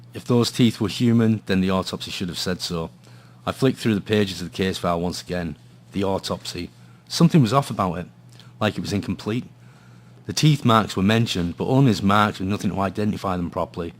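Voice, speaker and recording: A man's voice: low voice, fairly quick, close to an everyday voice. An actor playing a gloomy hard-boiled male detective, narrating and talking in a noir radio play with brooding drama. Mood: brooding, grim.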